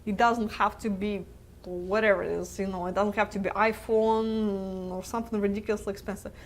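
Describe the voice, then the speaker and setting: A woman's voice: mid-range voice, level tone, brisk. Chatty woman with a tiring voice. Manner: chatty, laughs easily, many filler words and restarts. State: losing her voice. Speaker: webcast host answering viewer questions. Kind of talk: live question-and-answer chat.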